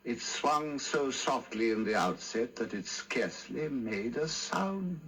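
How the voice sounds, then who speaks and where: A man narrating a classic tale for a radio drama, with measured, theatrical, deliberate phrasing. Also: medium pitch, animated, fairly bright tone, slight background noise.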